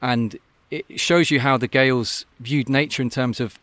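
A man's voice: medium pitch, fairly even intonation, a little slow, a bit bright, no room sound. A man who works as an open-water swim coach, talking earnestly and thoughtfully in a casual podcast interview, often restarting his sentences. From Scotland.

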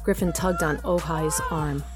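A woman's voice: low-pitched, fairly even intonation, unhurried, over loud music. A woman narrating a scripted audio drama as its storyteller.

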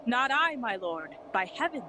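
A loyal, wary young courtier in a Shakespearean audio drama. The voice is high and very animated, earnest toward a superior, over clearly audible noise. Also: female voice, normal speed. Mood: eager, earnest.

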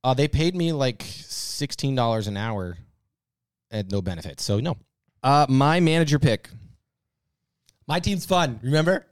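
A man's voice: mid-range voice, expressive, measured pace, pauses often, clean tone, dry recording, clean studio sound. A man co-hosting a podcast in casual panel banter, with an informal delivery. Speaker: podcast co-host.

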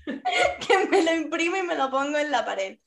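A woman's voice: high voice, wildly swooping pitch, normal speed, gravelly, a crowd in the background. Chatty Spanish podcast host woman. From Spain. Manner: laughs often, mixes in English words. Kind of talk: casual podcast interview.

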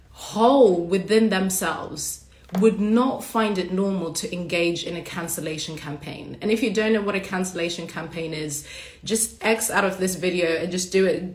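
A woman hosting a casual livestream monologue, hoarse and raspy from a cold, talking passionately and bluntly with casual swearing. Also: on the low side, reverberant. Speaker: podcast host.

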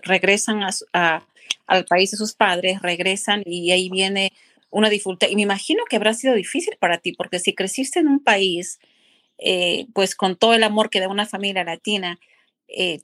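A woman's voice: medium pitch, normal speed. A woman hosting a podcast, asking questions in a chatty way with frequent restarts. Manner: warm-up questions with many restarts and fillers. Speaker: podcast host. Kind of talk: casual interview.

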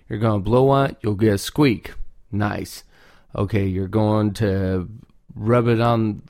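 Slow-talking man giving informal advice. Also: mid-range voice, darkish tone, no echo, studio quality. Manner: repeats words for emphasis. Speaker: duck call maker giving advice. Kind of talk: informal off-the-cuff instructional talk.

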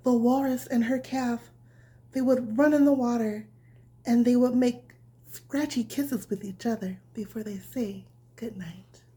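A woman with a slow, mellow delivery reads a bedtime story as if to a small child. Her voice sits a little high and is breathy, often slipping toward a whisper. She keeps her phrasing simple and nurturing. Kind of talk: reading a picture book aloud as a bedtime story, with asides to the listener.

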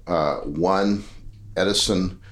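A man's voice: sits low, takes it slowly, a little hiss or hum. A man, a dentist and collector, talking unhurriedly at home about his old machines, with hesitant fillers. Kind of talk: casual explaining.

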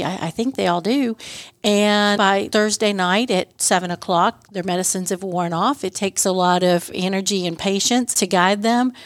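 A middle-aged woman with a mid-pitched voice shares personal stories as a podcast guest. She is earnest and conversational, often acting out what people said, in a very dry recording. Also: no echo. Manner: earnest, anecdotal, quotes dialogue in stories. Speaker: podcast guest, school board member and scout leader.

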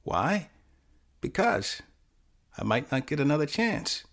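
A man playing a confused, fatalistic teenage boy who narrates his own short story in halting, self-correcting fragments. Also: on the high side, big pitch swings, gravelly, fairly bright tone, exaggerated voice. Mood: resigned, anxious. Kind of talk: first-person dramatic short-story performance.

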